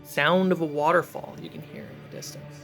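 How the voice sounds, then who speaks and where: A game master narrating a fantasy tabletop adventure in a measured way, pausing between short atmospheric phrases. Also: mid-range voice, steady tone, creaky, a bit bright. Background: cinematic background music.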